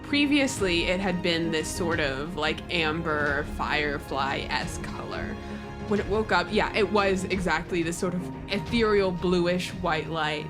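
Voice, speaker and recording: Low-voiced woman gaming, music behind. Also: a little slow. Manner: informal, loosely descriptive. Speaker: tabletop game player. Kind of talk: casual tabletop game chat.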